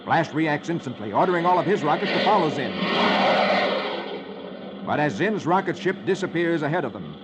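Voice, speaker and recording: A man's voice: fairly high pitch, animated, moderate pace, pauses often. A man hosting a 1950s children's radio show, reading comic strips in a jolly, theatrical storytelling style. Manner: jolly, exaggerated delivery. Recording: noisy old 1950s radio recording.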